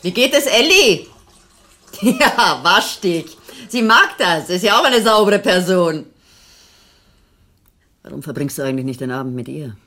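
A cool, sardonic wife. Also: female voice, lowish voice, very animated, unhurried, long pauses, bright, forceful tone, full character voice. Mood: mocking. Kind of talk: radio play acting.

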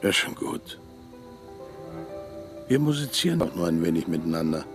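An actor playing a brooding, cryptic man stranded in a small town who haunts the river at night, speaking in a hushed, bitter way in a radio drama. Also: low-pitched, big pitch swings, moderate pace, over loud music.

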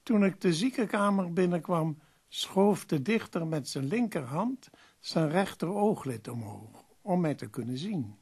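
The polite, canny visiting publisher of a period radio play, husky and unhurried as he does business at a bedside. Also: fairly high pitch, animated, darkish tone, exaggerated voice. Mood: measured narration. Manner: measured, businesslike. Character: courteous, shrewd male publisher visiting sick author. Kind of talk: radio drama narration and dialogue.

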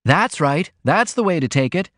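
A man's voice: fairly high pitch, big pitch swings, brisk, no echo, studio quality, pitched above the performer's own voice. A man voicing a brash, self-important young cub reporter in a storybook reading, with an airy, eager manner. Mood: breezy. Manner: eager, airy, indignant when crossed.

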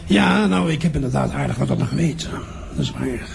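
A man's voice: medium pitch, lively intonation, gravelly, over music. An older man playing a boastful, roguish crime boss and charming father in a radio play, drawing out and savouring his words. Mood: smug, boastful. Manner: drawn-out, self-satisfied, savouring his words. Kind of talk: improvised radio play dialogue. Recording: noisy room ambience.

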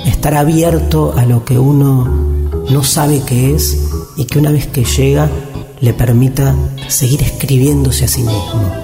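A man, speaking reflectively and philosophically in measured short phrases. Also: medium pitch, fairly even intonation, vocal fry, music in the background.

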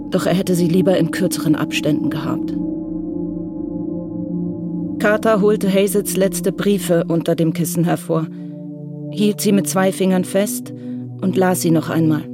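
A narrator reading a crime radio play in a measured, even way. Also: medium pitch, music in the background. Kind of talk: scripted narration.

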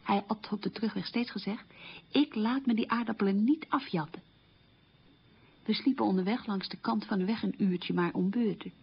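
A woman playing a resilient survivor of childhood poverty, recounting memories in a plain, unhurried monologue for radio. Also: medium pitch, gravelly, breathy edge. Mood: matter-of-fact. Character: resilient woman recalling a poor, hungry wartime childhood. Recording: dull, lo-fi radio recording.

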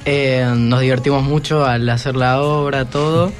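A man's voice: medium pitch, steady tone, moderate pace, faint music behind. A teenage boy, speaking hesitantly as a student guest in a casual radio chat. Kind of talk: casual interview answers.

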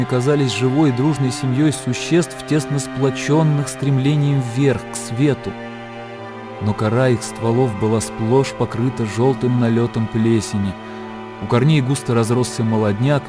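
Music plays clearly behind a male narrator with a mid-pitched voice. He reads literary prose as the storyteller of a radio play.

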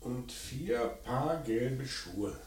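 Police inspector, terse, dry, quiet authority, radio play, mid-pitched, roomy sound, faint noise. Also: male voice, animated, very slow. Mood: quietly probing. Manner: terse questioning with dry asides. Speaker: actor in a radio crime drama. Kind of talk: German radio play acting.

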